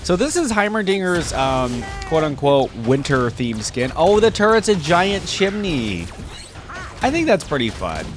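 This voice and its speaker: A man's voice: on the high side, very animated, unhurried, over music. A man giving casual reaction commentary as a video creator looking over game content.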